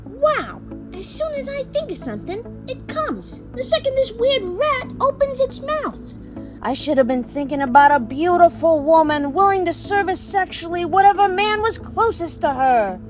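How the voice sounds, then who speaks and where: A woman voicing a naive, brash male New York sports fan, musing aloud excitably in an audio play. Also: very high voice, moderate pace, over music, pitched above the performer's own voice. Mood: excited wonder. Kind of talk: quoted character in audio drama storytelling.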